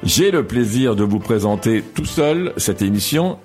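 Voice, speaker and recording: A man's voice: fairly low pitch, animated, a little slow, darkish tone, faint music behind. Chatty male radio host. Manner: chatty, joking with the technician. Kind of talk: casual radio presenting.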